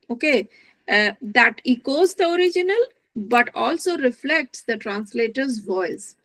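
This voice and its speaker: A woman giving an academic lecture as a literary translator, speaking in a measured, thoughtful way and searching for her words. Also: fairly high pitch, a bit bright, no room sound, low-quality recording. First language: not Dutch.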